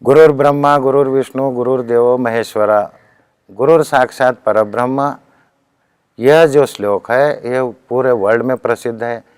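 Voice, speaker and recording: Male spiritual teacher, Deccan Hindi accent, earnest discourse delivery, mid-pitched resonant voice in a dry recording. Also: fairly even intonation, normal speed, darkish tone, clear, resonant tone, dry recording.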